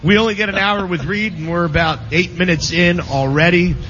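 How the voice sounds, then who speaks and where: A man's voice: high-pitched, fairly even intonation, fairly quick, a bit bright. A man hosting a sports radio show, introducing the panel with jokey nicknames in casual banter. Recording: dull lo-fi broadcast with faint noise.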